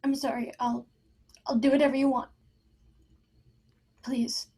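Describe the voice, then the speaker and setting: An actress playing a frightened, desperate mother in a screenplay table read, halting and pleading. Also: high-pitched, level tone, dark tone, breathy edge, full character voice. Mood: fearful, submissive.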